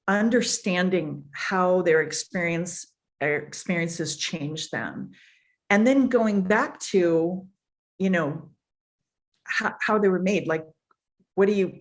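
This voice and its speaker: An older woman speaks slowly in a booming, emphatic voice as a podcast guest. She tells stories in an unhurried way, repeating phrases while she thinks. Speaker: podcast guest, foundation founder. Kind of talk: conversational storytelling.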